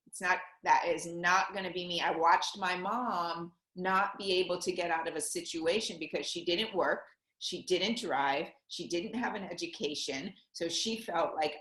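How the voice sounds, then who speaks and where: A middle-aged American woman talks about money and her past in an earnest, personal way, her voice sitting a little low. She speaks in quick bursts, and the room around her rings with echo. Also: a bit bright. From Connecticut, USA. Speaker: guest, money coach. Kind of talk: conversational interview.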